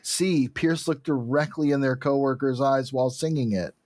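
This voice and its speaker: A man's voice: medium pitch, normal speed, darkish tone. A man co-hosting a podcast in casual chat, telling things in a rambling, digressive way. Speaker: podcast co-host.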